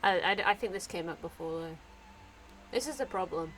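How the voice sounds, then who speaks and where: A woman's voice: fairly low pitch, normal speed, a bit bright, a little hiss or hum, poor audio. A woman streaming a game, chatting casually and giggling as she gushes over the characters. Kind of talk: casual live-stream commentary.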